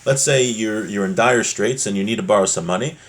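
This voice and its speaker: A man's voice: lowish voice, a little fast. Man teaching an informal religious lesson. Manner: conversational, explanatory, with rhetorical questions. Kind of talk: informal religious text lesson, reading and explaining.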